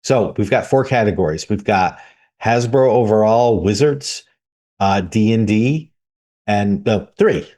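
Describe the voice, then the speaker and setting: A man with a lowish voice steers a podcast news segment. He sets up each topic plainly and hands it over to his co-host with a question. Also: expressive, unhurried, mellow. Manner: leads the segment with short prompting questions. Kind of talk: casual podcast segment hosting.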